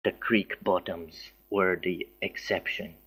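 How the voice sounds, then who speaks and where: A man's voice: low-pitched, flat delivery, slow pace, fairly bright tone, husky. A man reading a story aloud as an amateur, stumbling over words and dropping in casual asides. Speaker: story reader. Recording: music behind the voice. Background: background music.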